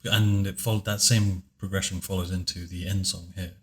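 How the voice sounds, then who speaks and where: A man with a very deep, low voice, chatting casually in a commentary on his own video, speaking softly and hesitantly with many fillers. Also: level tone, moderate pace. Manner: soft-spoken, hesitant, with many fillers and restarts. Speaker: video creator giving commentary.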